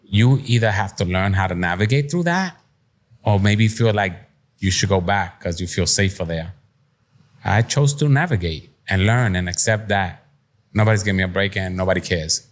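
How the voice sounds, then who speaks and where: A man's voice: deep voice, lively intonation, moderate pace. A middle-aged man from Puerto Rico talking candidly as an interview guest, plain-spoken, streetwise and reflective. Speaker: podcast guest, entrepreneur. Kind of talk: candid conversational interview, personal storytelling.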